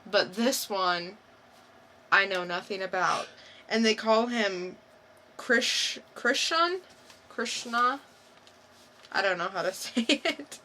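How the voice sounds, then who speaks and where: A woman co-hosting a podcast, giving a casual unscripted review in a halting, unsure way, thinking aloud. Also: mid-range voice, sharp tone, a little hiss or hum. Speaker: podcast co-host reviewing comics.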